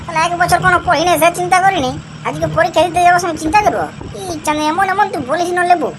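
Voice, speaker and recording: Cartoon schoolboy, played by a woman: brash and mischievous, extremely high voice, rapid-fire Purulia Bengali accent, slangy boasting, slight background noise. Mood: cocky. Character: cocky, mischievous village schoolboy, brash and carefree. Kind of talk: comic cartoon dialogue.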